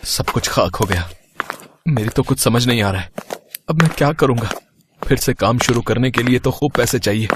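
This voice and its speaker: A man's voice: medium pitch, normal speed, heavy background noise, natural voice. A man voicing a devoted, tender young husband in a melodramatic cartoon moral story, with an earnest manner. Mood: despairing.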